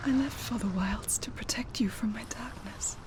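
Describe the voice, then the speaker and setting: A woman's voice: a little high, moderate pace, in a whisper, close to an everyday voice. A woman playing a tormented young warrior in a game, intense, vulnerable and desperate. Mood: grieving, remorseful. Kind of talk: raw emotional game acting.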